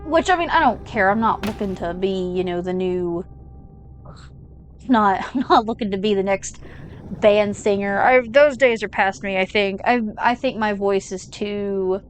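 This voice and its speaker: A woman's voice: medium pitch, measured pace. A young woman chatting casually while playing a video game, rambling with lots of fillers and side tangents. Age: young adult. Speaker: gaming channel host. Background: game music.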